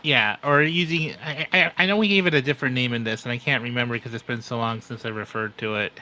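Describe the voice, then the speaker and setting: A man talking as a player in a tabletop roleplaying game, explaining his move in a halting, thinking-aloud way. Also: mid-range voice, steady tone, pushed and bright, poor audio.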